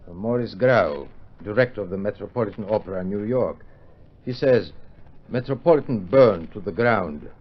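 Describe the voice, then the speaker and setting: An actor playing a grave, loyal gentleman companion, formal and hesitant, in an old radio drama. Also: fairly low pitch, expressive, moderate pace. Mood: grave, dismayed. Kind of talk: 1950s-style radio drama acting. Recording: dull, noisy vintage radio recording.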